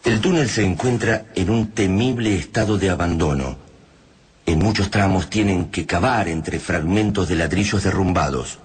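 Deep grave man narrating over music. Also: breathy edge. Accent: Rioplatense Spanish. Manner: grave, ominous, deliberate. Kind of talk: dramatic radio narration.

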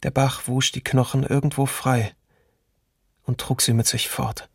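An actor voicing a sombre man recalling his childhood, reading a literary memoir with measured restraint in a documentary radio play. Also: mid-range voice, level tone, gravelly, husky, dry recording, studio quality. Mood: sombre, reflective.

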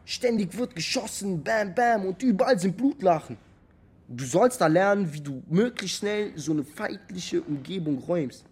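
An actor playing a brash, excitable young street tough in a naturalistic radio drama, speaking in blunt, colloquial slang. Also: male voice, high voice, moderate pace, husky, full character voice. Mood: excited. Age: young adult. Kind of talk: interview monologue in a naturalistic German radio drama.

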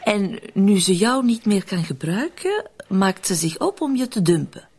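An actress with a Flemish accent playing a cool, sly, mocking accomplice in a radio drama, wry and teasing. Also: female voice, mid-range voice, sing-song, a little slow, darkish tone, breathy edge, heavily acted. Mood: cool, sceptical.

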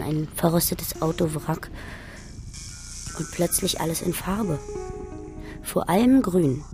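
An actress in a radio drama playing a pensive daughter who narrates her memories in a hushed, contemplative way. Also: lowish voice.

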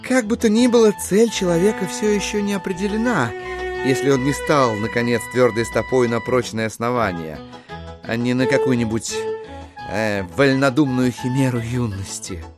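An actor playing a polite, ingratiating, self-important gentleman schemer, hesitant and pompous, in an audio drama. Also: mid-range voice, moderate pace, lightly acted. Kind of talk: theatrical audio drama acting. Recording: music behind the voice.

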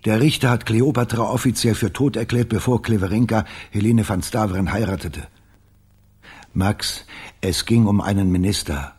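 An actor playing an evasive, self-justifying agency boss in a radio crime drama, measured and defensive. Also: male voice, lowish voice, a little mellow, slightly rough. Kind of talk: German radio play acting.

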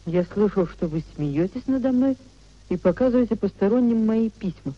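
An actress playing a proud, indignant married society lady with measured, formal delivery in a 1950s radio play. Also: medium pitch, expressive, natural voice. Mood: reading coldly. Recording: dull 1950s radio drama recording.

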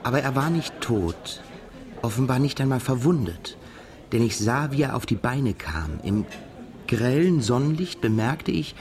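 An actor playing a brooding, haunted émigré narrator in a literary radio drama, speaking in a halting, self-correcting way. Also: male voice, medium pitch, big pitch swings, darkish tone. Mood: absorbed, tense. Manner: halting, self-correcting while translating aloud from English. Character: brooding Russian émigré man, narrator haunted by a past shooting.

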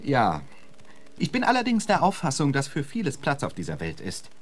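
An actor playing an urbane, witty poet in a radio play, suave and flowery with a dry humour. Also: male voice, mid-range voice, huge pitch swings, moderate pace. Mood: polite, careful. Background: music.

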